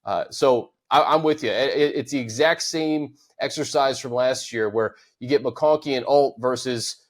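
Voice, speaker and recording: A man with an ordinary mid-range voice hosts a casual sports chat. He talks quickly and conversationally, picking up his co-host's points and running with them. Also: mid-range voice. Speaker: podcast host.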